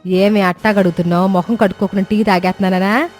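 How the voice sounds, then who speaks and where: A woman's voice: medium pitch, fairly even intonation, quick pace, a little mellow, faint music behind, close to an everyday voice. A woman voicing a lazy middle-aged mother-in-law in a cartoon story, bossy and indignant. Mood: grumpy, indignant.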